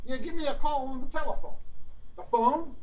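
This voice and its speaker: An actor playing a friendly, brash American taxi driver who talks bluntly and casually. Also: male voice, extremely high pitch, normal speed, far from the mic, poor audio. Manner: blunt, casual street talk.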